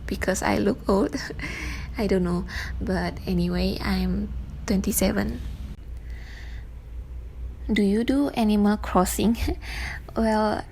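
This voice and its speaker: A woman, chatting softly through a casual Q&A voice-over, sometimes dropping into hushed asides. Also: medium pitch, steady tone, takes it slowly, vocal fry, long pauses, a little hiss or hum, poor audio. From Indonesia. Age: 20s. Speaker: artist vlogger. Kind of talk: casual Q&A voice-over while painting. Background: faint background music.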